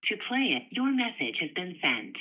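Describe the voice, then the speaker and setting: A woman's voice: lowish voice, sing-song, moderate pace, husky. A woman as an automated voicemail system giving scripted menu prompts, muffled over a phone line. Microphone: heard over a phone call.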